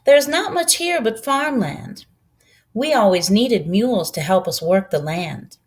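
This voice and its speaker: A woman voicing a kindly, proud old Southern farm woman who tells her story in a folksy, unhurried way for a storybook. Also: mid-range voice, lively intonation, voice pushed up. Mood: proud storytelling.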